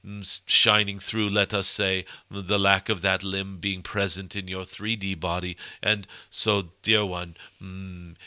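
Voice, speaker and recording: A man voicing an ancient, benevolent cosmic dragon spirit guide, speaking formally and haltingly with frequent hummed pauses, as in a channeled spiritual message. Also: low voice, sharp tone, clear, resonant tone, no room sound, exaggerated voice. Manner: halting, hums "mm" between phrases, formal archaic phrasing.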